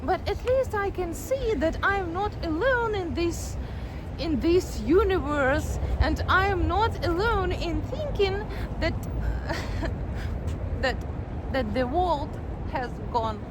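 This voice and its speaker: A woman's voice: very high voice, expressive. A woman with a strong Ukrainian accent giving an earnest, heartfelt personal video update. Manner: earnest, heartfelt, speaks deliberately. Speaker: vlogger, teacher. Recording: clear background vehicle noise.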